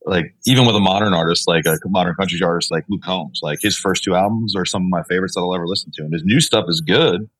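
Low-voiced easygoing young man. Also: quick pace, few pauses, fairly bright tone, no echo, rough recording. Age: young adult. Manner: easygoing, lots of likes and you-knows. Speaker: country musician guest. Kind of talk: casual chat.

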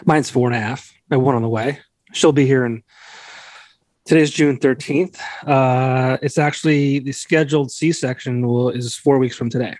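A man's voice: medium pitch, steady tone, normal speed, a little mellow, dry recording. A man in his late thirties hosting a podcast, joking and blunt, with casual swearing in loose chat. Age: 30s. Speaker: podcast host.

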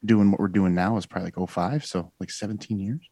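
Forty-something man, low and dry, rambling guest storyteller. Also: steady tone, fast, mellow, dry recording. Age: 40s. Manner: rambling storyteller, many fillers and self-corrections. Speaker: guest musician. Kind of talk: casual podcast chat.

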